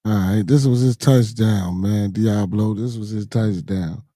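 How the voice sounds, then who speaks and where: A hoarse man hosting a podcast in loose, slangy casual chat, muttering to himself as he fumbles to share a clip. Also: fairly low pitch, fairly even intonation, measured pace, mellow, no room sound, clean studio sound. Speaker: podcast host. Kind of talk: casual live-stream chat.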